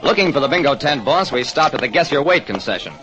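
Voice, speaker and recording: An actor playing a terse American private detective, narrating an old radio crime drama. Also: male voice, a little high, lively intonation, fast talker, pushed and bright. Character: wry, quick-thinking American private detective. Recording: dull vintage radio recording, music behind.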